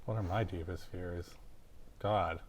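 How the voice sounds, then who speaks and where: A man hosting an improvised comedy interview in a casual, playfully deadpan way. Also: low voice, measured pace, a little hiss or hum. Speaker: comic talk show host.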